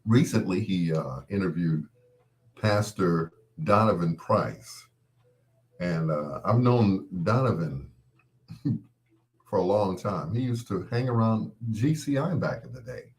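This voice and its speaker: A man's voice: low voice, expressive, slow, darkish tone. A man hosting a show solo, rambling casually to his listeners in a folksy, playful, anecdotal way. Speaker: host of a late-night show.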